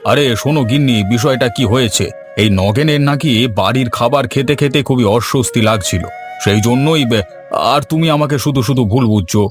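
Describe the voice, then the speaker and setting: A man's voice: mid-range voice, brisk, lightly acted. A man voicing a grumbling, henpecked village landlord in a cartoon story, with a dry manner. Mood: defensive. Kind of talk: Bengali cartoon story voice acting. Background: music.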